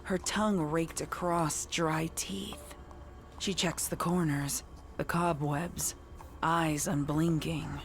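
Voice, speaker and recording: A woman reading dark horror fiction as scripted narration. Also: low-pitched, fairly even intonation, slow pace, faint music behind.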